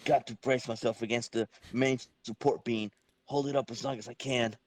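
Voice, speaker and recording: A man voicing an earnest comic-book superhero in a casual, halting amateur reading. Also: medium pitch, fairly even intonation, darkish tone, dry recording, close to an everyday voice. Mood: determined. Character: earnest young male comic-book superhero narrating his struggle.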